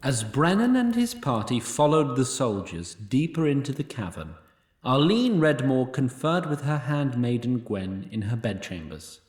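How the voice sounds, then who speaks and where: A man narrating a fantasy audio drama from a script in a measured, dryly told storytelling style. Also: mid-range voice, sing-song, a little mellow. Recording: echoey, music behind.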